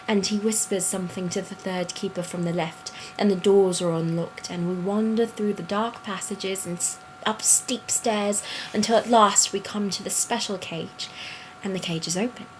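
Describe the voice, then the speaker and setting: A woman playing a whimsical children's storybook narrator, reading expressively in an animated way. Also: mid-range voice, slight background noise, natural voice, low-quality recording.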